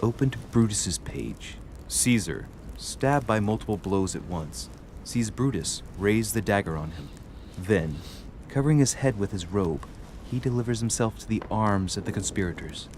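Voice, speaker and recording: A man's voice: on the low side, animated, moderate pace, hardly any pauses, background noise. A courteous young nobleman. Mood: measured. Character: well-mannered young nobleman, the player character. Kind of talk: game voice acting.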